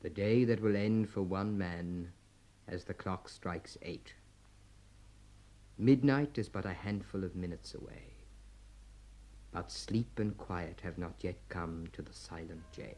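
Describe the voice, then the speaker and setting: A man's voice: low voice, takes it slowly, warm tone, full character voice. A male actor narrating a prison radio drama in a solemn, scripted style.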